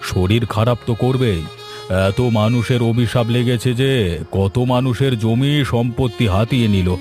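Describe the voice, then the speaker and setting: Guy doing stern village head in a cartoon, mid pitch, blunt and preachy, some music under him. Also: fairly even intonation, normal speed, darkish tone, natural voice. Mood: blunt, disapproving. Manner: blunt, moralizing. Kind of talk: cartoon voice acting.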